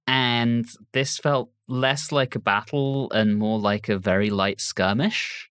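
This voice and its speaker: A man's voice: mid-range voice, steady tone, slow pace, a bit bright, clean tone, no echo, studio quality. A man hosting a podcast, discussing an audio drama. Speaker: podcast host.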